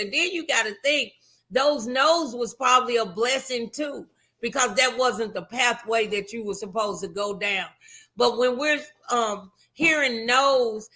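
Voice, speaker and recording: A woman hosting a faith-based interview show, speaking in an encouraging, affirming, gently preachy way. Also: on the high side. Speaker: talk show host.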